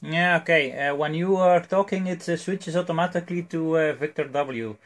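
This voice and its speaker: A man talking as an amateur radio operator in an unscripted exchange, with a hesitant, halting delivery. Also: on the high side. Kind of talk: unscripted ham radio exchange with spelled call signs.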